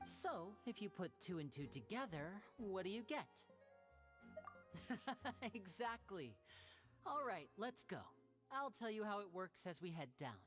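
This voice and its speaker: Theatrical young male magician, lively intonation. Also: mid-range voice, soft background music. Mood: smooth showmanship. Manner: theatrical, measured explaining. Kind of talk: anime game dub dialogue.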